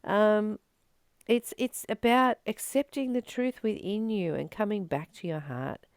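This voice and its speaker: Slow talking woman doing spiritual reading n commentary, creaky voice, very dry close sound, earnest w pauses. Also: mid-range voice, darkish tone, no room sound. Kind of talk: spiritual book reading with informal personal commentary.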